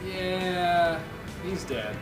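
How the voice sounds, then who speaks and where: A man with a slightly high, animated voice performs lines at a live comedy reading. He sounds off-mic in a reverberant room over faint music. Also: very slow, distant mic. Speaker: table read performer.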